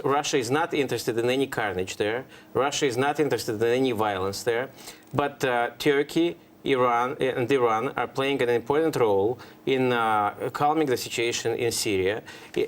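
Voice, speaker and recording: A man speaking as a panel commentator in a political debate, emphatic, often restating the same phrase. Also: mid-range voice. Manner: emphatic, hesitant repetitions of phrases.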